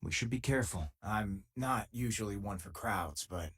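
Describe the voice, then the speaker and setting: Husky low-ish guy, wry easygoing space miner sidekick from a video game. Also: lively intonation, normal speed, fairly bright tone. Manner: casual, wry, conversational. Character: easygoing, loyal male space miner companion, wry and tough. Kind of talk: video game companion lines.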